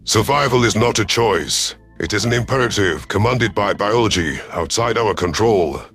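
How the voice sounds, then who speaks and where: A man voicing a solemn, remorseful reptilian alien predator with measured, formal speech in an audio sci-fi story. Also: fairly low pitch, a bit bright, faint music behind, voice pushed down.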